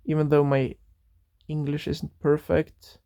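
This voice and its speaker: A man's voice: on the high side, monotone, unhurried, warm tone, dry recording, clean studio sound. A young man, thinking aloud in a rambling solo monologue that drifts into tangents. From Norway. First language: Norwegian. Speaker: podcast host.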